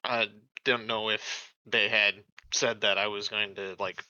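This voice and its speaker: A man's voice: lowish voice, flat delivery, a little slow, pushed and bright, no room sound, muffled recording. A man chatting casually as a player in a tabletop roleplaying game.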